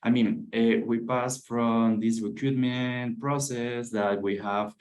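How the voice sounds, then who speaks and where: Halting man on a panel. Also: medium pitch, steady tone, a little mellow. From Venezuela. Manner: halting, searches for words, repeats himself. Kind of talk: online panel discussion. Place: office in Ecuador.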